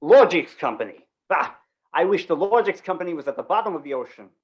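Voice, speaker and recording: A man's voice: fairly high pitch, big pitch swings, fast talker, slightly rough. Grumpy, blustering man, acted out by a man, muffled sound. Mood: exasperated. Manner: blustering, irritable. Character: grumpy, fed-up man cursing a company. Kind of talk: old-time radio drama acting.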